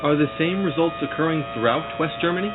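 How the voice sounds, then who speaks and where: A man's voice: on the high side, fast talker, very noisy, lightly acted. A man playing a radio news anchor questioning a field correspondent in a live-news drama. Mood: businesslike. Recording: tinny, phone-like radio sound.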